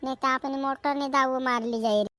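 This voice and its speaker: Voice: sits high, fairly even intonation, brisk, fairly bright tone. A performer voicing a chatty, fretful village cartoon character with a rural western Madhya Pradesh accent, repeating phrases in comedy dialogue. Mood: complaining. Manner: repetitive, chattering.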